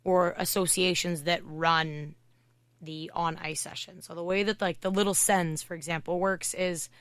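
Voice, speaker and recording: Woman, hockey coach, from Canada, loud voice, chatty storyteller, low smooth tone. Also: fairly even intonation, no room sound. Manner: chatty, fast storytelling with many "like" fillers. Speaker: hockey and fitness coach, guest. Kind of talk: casual podcast interview.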